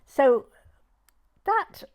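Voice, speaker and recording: A woman in her nineties with a southern English accent, reminiscing informally in an interview in a chatty, halting way with plenty of "you know". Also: a little mellow. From Dorset, England. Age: 90s. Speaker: oral history interviewee.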